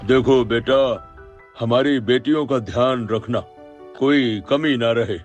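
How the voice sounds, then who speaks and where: A man playing a worried, frail old grandfather in a cartoon story, speaking in a halting, hesitant way. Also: medium pitch, faint music behind, close to an everyday voice. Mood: gently concerned. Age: older.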